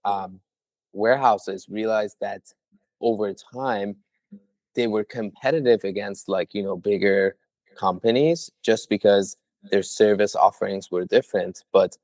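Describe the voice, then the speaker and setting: A young man with a low voice explains his startup in an earnest, conversational way, often leaning on small fillers. The sound is dry and close, with no room around it. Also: measured pace, a little mellow, no echo. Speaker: startup founder, guest. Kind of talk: conversational interview answers.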